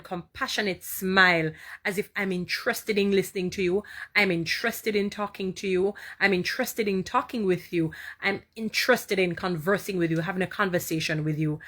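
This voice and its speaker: A Jamaican woman hosting a casual inspirational live talk, chatting warmly, repeating herself and answering viewer comments. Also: medium pitch. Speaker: live-stream host.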